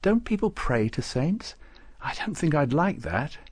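A man's voice: a little high, very animated, drawn-out words, no room sound, pitched above the performer's own voice, full character voice. A man voicing a modest, uneasy toymaker's wife in a storybook, speaking hesitantly. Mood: uncomfortable. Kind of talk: storybook character voice.

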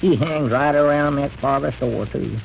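An actor playing a nervous small-town storekeeper who stammers and frets, speaking in a country dialect, old-time radio comedy style. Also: male voice, medium pitch, normal speed, vocal fry, a little hiss or hum, lightly acted, rough recording. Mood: fretful. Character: jittery middle-aged small-town storekeeper. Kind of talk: 1930s radio comedy acting.

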